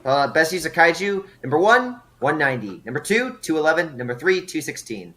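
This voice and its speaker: Halting male host reading results. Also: a little high, sing-song, sharp tone. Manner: halting, many fillers and restarts. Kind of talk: casual group chat over voice call.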